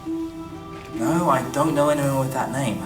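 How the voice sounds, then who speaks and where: A woman playing a kindly, well-to-do older gentleman in a staged radio play, formal and full of concern. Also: extremely low pitch, huge pitch swings, slow, over music, full character voice. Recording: echoey stage recording from far off.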